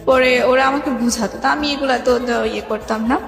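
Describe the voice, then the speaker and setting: A woman's voice: fairly high pitch, steady tone, a little fast, vocal fry. A young woman with a Bangladeshi accent telling her life story informally as a guest, rambling and often quoting remembered dialogue. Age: young adult. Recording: reverberant hall echo, music behind.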